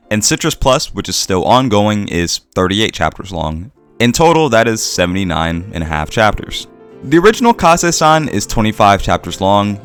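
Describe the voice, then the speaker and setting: A man's voice: medium pitch, a bit bright. A man hosting and narrating a scripted video essay.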